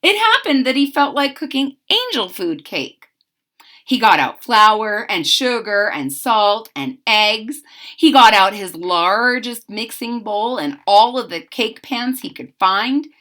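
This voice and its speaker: Expressive woman reading a picture book. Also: on the high side. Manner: expressive, with chatty asides to listeners. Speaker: story reader.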